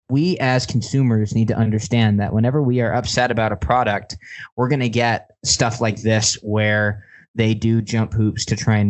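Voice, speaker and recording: A man's voice: medium pitch, rough recording. A man co-hosting a podcast, explaining his points in casual film discussion. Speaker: podcast co-host.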